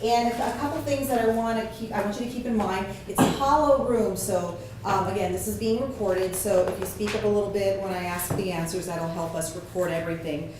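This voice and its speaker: A woman's voice: medium pitch, a little fast, few pauses, background noise. Chatty woman moderator in an echoey room. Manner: chatty, many fillers and restarts. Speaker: focus group moderator. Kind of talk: casual group briefing. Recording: echoey hollow room, mic at a distance.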